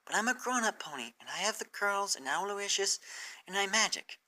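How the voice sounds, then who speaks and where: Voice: medium pitch, lively intonation, fairly quick, sharp tone, pitched above the performer's own voice. A reader voicing an earnest, caring young adult female pony in a fan fiction story. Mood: warm, persuasive. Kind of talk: fan fiction character reading.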